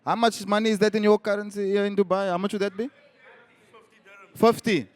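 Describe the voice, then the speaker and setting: A man from South Africa telling a story as a guest on a live panel, wry and animated. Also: quick pace, clear, resonant tone. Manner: animated, wry, rises in pitch when stressing points.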